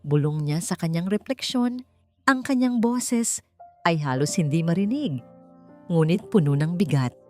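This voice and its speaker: A low-pitched woman narrates a dramatic story from a script. Music plays clearly behind her voice as she reads. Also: lively intonation, a little slow, darkish tone. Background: background music.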